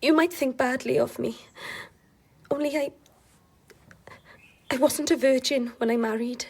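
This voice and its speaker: A woman's voice: sits high, steady tone, unhurried, vocal fry, slightly rough, exaggerated voice. A woman acting in a radio drama as a troubled, lonely young wife, confiding and vulnerable. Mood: confiding, uneasy.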